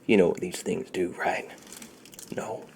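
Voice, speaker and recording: A man doing a soft-spoken, half-whispered ASMR roleplay in a soothing, reassuring manner, murmuring and repeating himself close to a sensitive microphone. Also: deep voice, little pitch movement, a little slow, vocal fry, a little hiss or hum. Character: friendly shady dealer treating a client's tingle immunity. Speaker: ASMR performer.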